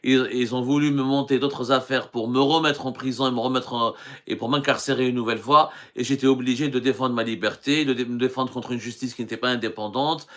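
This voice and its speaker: Earnest Algerian journalist, halting delivery. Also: male voice, mid-range voice, fairly even intonation. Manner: earnest, talks with many hesitations and restarts. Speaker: journalist hosting a live stream. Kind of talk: live stream answering viewer questions.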